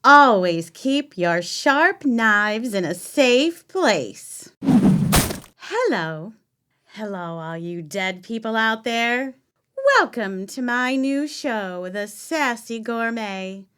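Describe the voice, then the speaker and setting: A woman's voice: on the high side, animated, slow pace, pushed and bright, clean tone, full character voice. A woman playing a sassy, sultry and blunt woman on a date in an audio play, delivered dramatically. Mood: dazed, showy. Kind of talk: stage radio play acting.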